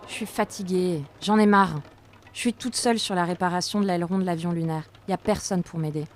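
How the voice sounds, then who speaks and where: A woman's voice: lowish voice, brisk, a little hiss or hum, close to an everyday voice. A woman playing a proud, overworked mechanic in an audio drama, colloquial and aggrieved. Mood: weary, complaining. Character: proud, overworked, touchy female workshop mechanic. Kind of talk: French audio drama dialogue.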